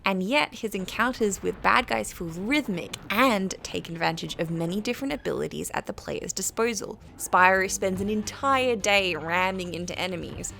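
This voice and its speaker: A woman with a British accent reading a scripted game review in a measured, articulate, critical way. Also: mid-range voice, creaky, bright, forceful tone. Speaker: video essay narrator.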